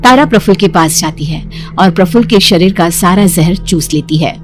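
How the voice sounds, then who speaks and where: Low-pitched woman narrating a story. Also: moderate pace, faint music behind.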